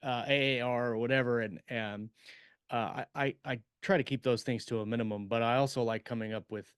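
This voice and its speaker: A man co-hosting a podcast, chatting casually and haltingly as he thinks aloud about writing. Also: mid-range voice, steady tone, clear, resonant tone, no room sound, clean studio sound. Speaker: podcast co-host, science fiction author.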